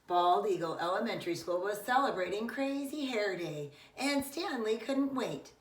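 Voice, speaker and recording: Expressive middle-aged woman reading aloud, echoey room. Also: medium pitch. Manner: expressive, deliberate storytelling with emphasized words. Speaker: preschool teacher. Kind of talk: children's picture book read-aloud. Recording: echoey room, distant microphone.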